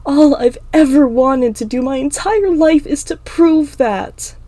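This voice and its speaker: Woman doing a teen demon girl in a fantasy story, anguished n defiant, loud pleading, pitched up. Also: measured pace, no room sound. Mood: tearful, pleading. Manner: impassioned, pleading shouts. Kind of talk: dramatic fantasy audiobook acting.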